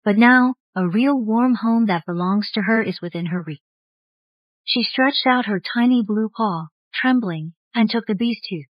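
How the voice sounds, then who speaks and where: A woman's voice: fairly high pitch, unhurried, dry recording, highs cut off. A woman narrating a scripted story in the style of an audiobook. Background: faint music.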